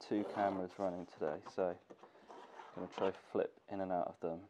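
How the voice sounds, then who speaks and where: A man's voice: deep voice, fairly quick. A young man with a cold, sounding congested, casually talking through a sewing tutorial in his workshop. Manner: relaxed, thinking aloud with fillers. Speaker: gear maker teaching sewing. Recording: dull lo-fi camera audio with faint noise.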